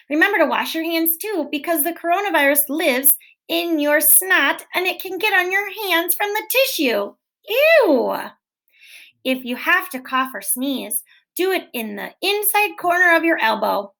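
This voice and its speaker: High-voiced woman reading to children. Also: gravelly. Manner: gentle, expressive storybook reading with small self-corrections. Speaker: teacher reading a picture book aloud. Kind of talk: children's read-aloud.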